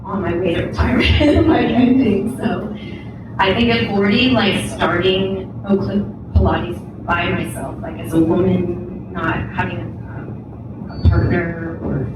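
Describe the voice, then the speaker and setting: A woman's voice: fairly low pitch, measured pace. A woman in her forties and a studio owner, talking casually in an interview, with lots of fillers and laughs. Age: 40s. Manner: chatty, filler-heavy, laughs often. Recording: roomy echo from far off, loud noise.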